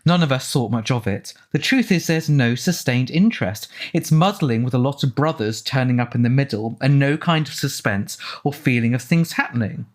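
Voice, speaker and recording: A man's voice: medium pitch, expressive, moderate pace, few pauses. A man giving informal film commentary as an author, chatty and discursive in the way he talks. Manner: chatty, discursive, reading out quotes.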